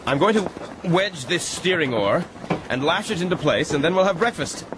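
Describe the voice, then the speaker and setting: An actor playing an earnest, considerate gentleman castaway in a radio drama, with a mild, hesitant, courteous manner. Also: a little high, animated, moderate pace, natural voice. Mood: practical. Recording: noisy boat and water ambience behind.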